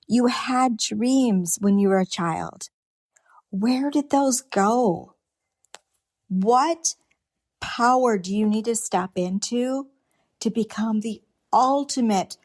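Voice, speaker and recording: Slow-talking earnest woman podcaster. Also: medium pitch, a little mellow. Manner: earnest, unscripted, many restarts and rhetorical questions. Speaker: podcast host. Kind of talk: reflective solo podcast monologue.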